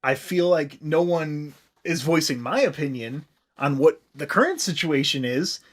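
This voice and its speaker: A man's voice: a little high, animated, a little slow. A man hosting a podcast in casual comedic chat, swearing freely with an irreverent, joking manner. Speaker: podcast host.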